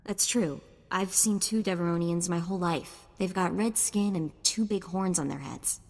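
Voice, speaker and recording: An actress playing a curious, wry sidekick in a video game, conversational and informative. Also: female voice, lowish voice, normal speed, a little mellow, long reverb tail. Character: curious, wry female sidekick of a bounty hunter.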